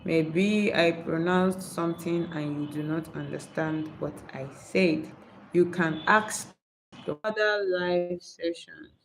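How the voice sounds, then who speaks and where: Nigerian woman, English her second language, live-stream host, voice on the low side, reads out points and explains each. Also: slow, a little hiss or hum, low-quality recording. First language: not English. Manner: reads points aloud then explains them. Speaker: YouTube creator. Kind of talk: live-stream tips talk.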